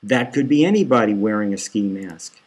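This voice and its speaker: A man's voice: lowish voice, animated, normal speed, a little mellow, breathy edge. A man voicing a glib, persistent television news interviewer in an audiobook, with insistent questioning. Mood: probing.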